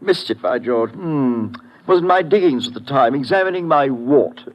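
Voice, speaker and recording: An actor playing a tweedy upper-class English gentleman with an affected, plummy British accent in old radio style. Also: mid-range voice, expressive, moderate pace, close to an everyday voice. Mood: jovial, bumbling. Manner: plummy, bluff, affected drawl. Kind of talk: 1940s-50s radio drama acting. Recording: muffled old radio recording.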